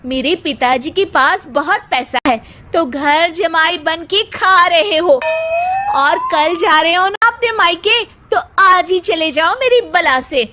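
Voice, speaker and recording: A woman playing an exasperated, sharp-tongued young wife, scolding sarcastically in a cartoon story, on a noisy recording. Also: very high-pitched, slow pace, voice pushed up.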